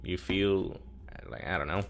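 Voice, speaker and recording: Audio drama lead: nervy research subject, halting casual speech, lowish voice. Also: male voice, expressive, vocal fry, heavily acted. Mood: uneasy, awkward. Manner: stammering, halting, informal. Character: anxious, sweary, hot-headed research subject. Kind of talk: naturalistic audio drama acting. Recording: faint background ambience.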